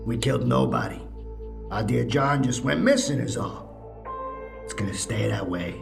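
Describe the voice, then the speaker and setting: An actor playing a menacing, insistent man in an audio drama, commanding and at times hushed. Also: medium pitch, moderate pace, gravelly. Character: menacing, insistent man urging a guilty secret be kept. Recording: cavernous reverb under loud music.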